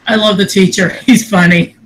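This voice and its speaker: A woman's voice: medium pitch, steady tone, normal speed, low-quality recording. A woman co-hosting a casual podcast, giving her opinions in informal, slangy talk. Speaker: podcast co-host. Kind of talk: casual chat. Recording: noticeable background noise.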